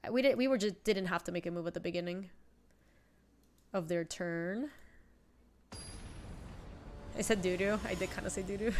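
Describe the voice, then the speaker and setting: A woman's voice: fairly low pitch, moderate pace, long pauses, darkish tone, slight background noise. Congested young woman streaming a card game. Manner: chatty, thinks aloud, answers chat. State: congested with a cold. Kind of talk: casual live-stream commentary. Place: home streaming room, indoors.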